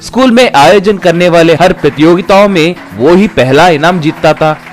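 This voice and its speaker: Higher-pitched male storyteller. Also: animated, moderate pace, faint music behind. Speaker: storyteller narrator. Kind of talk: scripted narration of a Hindi moral story.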